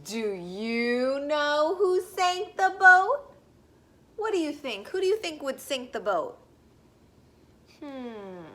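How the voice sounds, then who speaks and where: A woman reading a children's picture book aloud expressively, in a sing-song way, pausing to put questions to young listeners. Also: sits high, sing-song, fairly bright tone.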